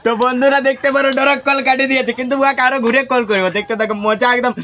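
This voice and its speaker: A man's voice: very high voice, little pitch movement, racing, bright, forceful tone. A man hosting an unscripted prank call, chatting to the caller and to viewers.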